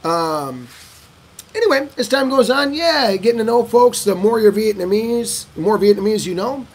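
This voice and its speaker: A man casually answering viewer questions in a vlog, telling stories in an animated way and acting out other people's lines. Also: normal speed, breathy edge. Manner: animated storyteller who acts out locals' lines in a higher voice. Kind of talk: casual talking-head Q&A.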